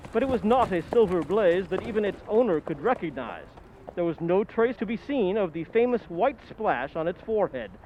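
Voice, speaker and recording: A man playing a detective's loyal, earnest companion, telling the story in an old-time radio drama style, measured and slightly puzzled. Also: high-pitched, a little hiss or hum. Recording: dull lo-fi sound, music underneath.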